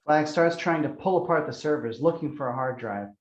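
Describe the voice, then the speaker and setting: A man's voice: on the high side, fairly quick, dull audio. A man reading out the action descriptions of a screenplay as narrator.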